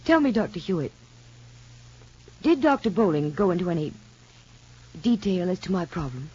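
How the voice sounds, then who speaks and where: An actress playing an anxious young mother in a radio soap opera, speaking politely and hesitantly in measured phrases. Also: lowish voice, sing-song, darkish tone. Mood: guarded. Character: anxious young mother confiding in child psychologist. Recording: muffled, husky-sounding old radio recording.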